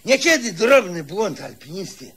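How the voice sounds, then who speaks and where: An actor playing a stubborn, self-important, gruff man in a comic radio play, grumbling and exclaiming pompously. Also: sits high, big pitch swings, normal speed, bright, forceful tone, full character voice. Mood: pompous, instructive. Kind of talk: comedy radio play acting.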